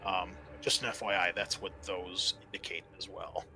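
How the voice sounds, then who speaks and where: A man's voice: low voice, steady tone, moderate pace, gravelly, over music. A man acting as game master, explaining a scenario and thinking aloud as he recalls the rules.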